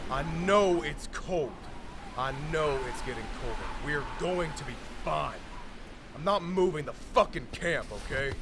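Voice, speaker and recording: A man as a high-pitched, hot-tempered survivor. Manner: blunt, intense. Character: hot-tempered, profane male apocalypse survivor. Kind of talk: comic dub acting. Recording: noisy ambient background.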